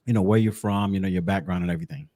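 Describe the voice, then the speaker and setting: Guy hosting a podcast, lowish voice, real dry sound, chats informal and hypes up the guest, repeats himself. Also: racing, mellow, no echo. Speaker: podcast host. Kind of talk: casual conversational interviewing.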